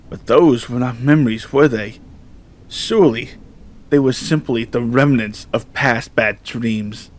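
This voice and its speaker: A man reading scripted horror fiction as narrator in a measured, solemn storytelling manner. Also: medium pitch.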